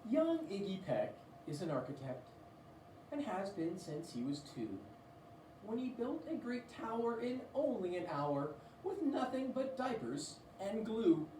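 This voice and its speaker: A woman's voice: mid-range voice, very animated, a little slow, dark tone. A woman reading a children's story aloud in a playful, theatrical way, with asides to the listener. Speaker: read-aloud storybook reader. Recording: roomy, distant mic, faint noise.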